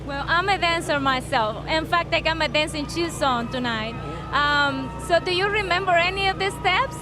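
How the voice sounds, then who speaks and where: Bubbly high-voiced Cuban woman reporter. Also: moderate pace, over music. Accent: Cuban Spanish. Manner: bubbly, giggly, enthusiastic. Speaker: TV entertainment reporter. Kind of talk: red carpet interview.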